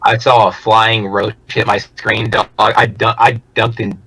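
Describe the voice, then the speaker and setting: Man, podcast co-host, deep monotone, bright tone, slangy, easygoing. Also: measured pace, creaky, breathy edge. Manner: slangy, laid-back. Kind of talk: casual podcast chat.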